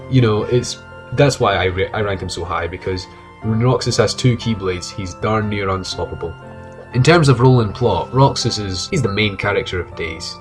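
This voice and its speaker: A man with a cold giving earnest, casual commentary on his favorite game characters, often restarting his sentences as he thinks aloud. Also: on the low side. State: has a cold. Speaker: video game fan commentator. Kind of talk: casual ranked-list commentary. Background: music.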